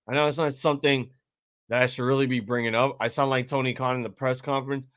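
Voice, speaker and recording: A man's voice: mid-range voice, fast, no echo, clean studio sound. Profane rambling man in his thirties. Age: 30s. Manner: rambling, profane, with loud excited outbursts. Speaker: live-stream host. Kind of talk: casual live reaction commentary. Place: home room at a table.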